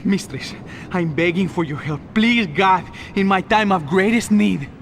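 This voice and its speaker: A man's voice: high-pitched, unhurried, slight background noise. An actor playing a frightened male gang lackey who begs his boss for help and mixes in Spanish words. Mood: terrified pleading. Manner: pleading, panicky, Spanish words mixed in.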